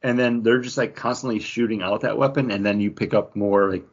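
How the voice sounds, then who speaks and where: A man co-hosting a podcast in casual chat, quick and jokey. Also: fairly low pitch, steady tone, breathy edge, lo-fi sound. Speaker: podcast co-host.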